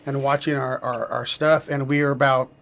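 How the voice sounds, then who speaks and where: A man's voice: medium pitch, flat delivery, normal speed, fairly bright tone. A man hosting a live podcast, talking loosely with restarts and filler words. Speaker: podcast host. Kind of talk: casual live-stream chat.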